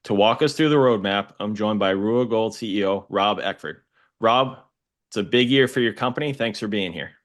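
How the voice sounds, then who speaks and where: A man's voice: fairly low pitch, moderate pace. A man hosting an investing podcast, reading a polished scripted introduction. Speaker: podcast host.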